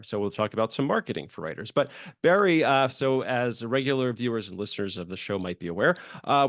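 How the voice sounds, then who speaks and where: A man's voice: mid-range voice, no echo. Chatty male podcast host, close dry sound. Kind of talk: casual conversational interviewing.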